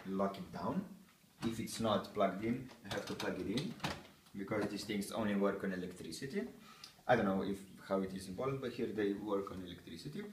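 A man's voice: lowish voice, far from the mic, noisy background. A young man, hosting a homemade cooking show in a small kitchen with loose, joking chatter. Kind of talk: playful mock cooking-show talk. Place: small home kitchen, indoors. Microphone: camera a few feet away. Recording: roomy, off-mic kitchen sound.

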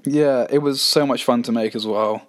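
A young man with an English accent hosting a podcast, relaxed and agreeable, with lots of backchannel replies. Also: mid-range voice, normal speed. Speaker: podcast host. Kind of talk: casual chat.

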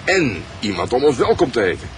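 An actor playing an amiable husband, by turns hesitant and playfully pompous, in a radio drama. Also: male voice, medium pitch, big pitch swings, brisk, crowd noise, close to an everyday voice. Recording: noisy car ambience.

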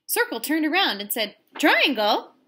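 Slow, bright-voiced woman reading a storybook. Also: a little high, sing-song. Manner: deliberate, dramatic phrasing. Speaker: storybook reader, teacher. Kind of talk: expressive children's read-aloud. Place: room at home.

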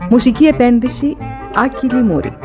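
Formal woman announcer over music. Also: fairly high pitch. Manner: measured, formal. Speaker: radio announcer. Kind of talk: scripted cast credits announcement. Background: instrumental music with brass.

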